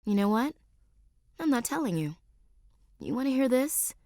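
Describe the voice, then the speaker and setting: A woman's voice: high voice, big pitch swings, moderate pace, mellow, dry recording. An actress playing a blunt, protective young female Marine in a video game, talking in a dry, wry military way. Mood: earnest. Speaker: soldier. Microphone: close studio mic.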